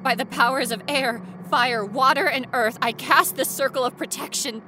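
A woman's voice: sits high, moderate pace, sharp tone, slight background noise. Woman acting an intense, frightened young ghost researcher. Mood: panicked. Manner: intense, breathy, dropping to whispers and rising to cries. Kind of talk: dramatic first-person horror audio storytelling.

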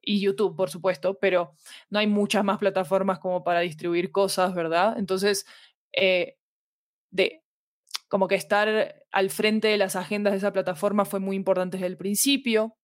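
A woman's voice: medium pitch, little pitch movement, moderate pace, no echo, clean studio sound. A woman with a Latin American Spanish accent, talking reflectively and at length as a guest in a casual interview. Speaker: interview guest, audio fiction expert.

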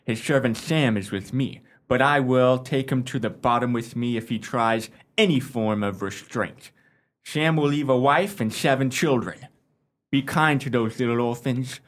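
Radio play: timid, lovelorn young suitor man actor, medium pitch, overwrought and self-pitying. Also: normal speed, natural voice. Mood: doomed, self-pitying. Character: melodramatic, cowardly, lovesick young gentleman suitor.

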